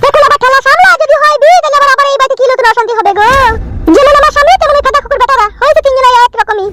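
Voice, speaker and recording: A woman's voice: very high-pitched, rapid-fire, bright, forceful tone, no echo. A woman voicing a scolding, exasperated village wife in a comedy cartoon, nagging in crude rural slang. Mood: bitter complaining.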